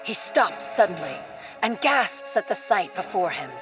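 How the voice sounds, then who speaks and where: A woman's voice: medium pitch, very animated, a little slow, over music. A woman reading scripted, dramatic story narration as the narrator of a radio play.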